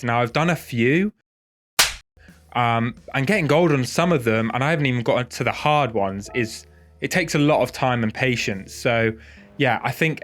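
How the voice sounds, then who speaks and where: A man's voice: medium pitch, a bit bright. A man with a head cold and a nasal voice, chatting casually and self-deprecatingly as host of a gaming video.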